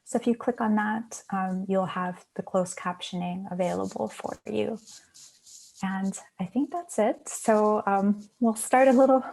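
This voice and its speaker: A woman's voice: mid-range voice, steady tone, a little slow, vocal fry, darkish tone, low-quality recording. A woman from Hawaii who manages international programs, hosting an online student panel over a video call, friendly and full of "um" fillers.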